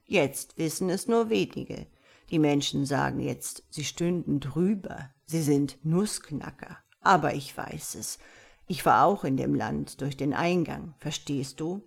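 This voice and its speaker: A woman voicing a wise, patient tomcat in a storybook, speaking in a measured, gently instructive and slightly pompous way. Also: sits low, vocal fry, breathy edge, voice pushed down, exaggerated voice. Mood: solemn, mysterious. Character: wise, patient male tomcat, calm mysterious mentor. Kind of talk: storybook character voice.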